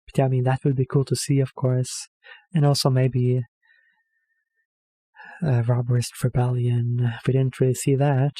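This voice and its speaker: Man, mellow voice, dry sound, hesitant rambling reaction host. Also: medium pitch, level tone, moderate pace, pauses often, no room sound. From Norway. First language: Norwegian. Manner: hesitant, rambling, self-correcting with many fillers. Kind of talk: casual rambling commentary.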